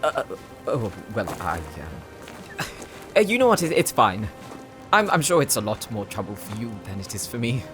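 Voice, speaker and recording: A man's voice: mid-range voice, big pitch swings, normal speed, close to an everyday voice. A man playing a polite, articulate demon hunter who likes to show off in a fantasy audio drama. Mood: flustered. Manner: courteous, articulate, explanatory. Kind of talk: fantasy audio roleplay acting. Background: music.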